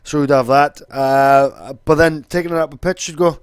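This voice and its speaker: A man's voice: on the high side, steady tone, moderate pace, clean tone, no room sound, clean studio sound. A young man with a Welsh accent, casually explaining in a rambling, sweary way with lots of fillers. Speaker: vlogger.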